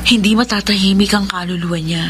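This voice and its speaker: An actress playing a dutiful, composed young Filipina daughter in a radio drama. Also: female voice, mid-range voice, fairly even intonation, quick pace, a little hiss or hum, lightly acted. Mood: apologetic, dutiful.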